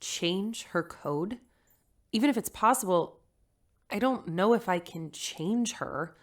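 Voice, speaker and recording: A woman's voice: mid-range voice, animated, slow. A woman voicing a torn, hesitant mother.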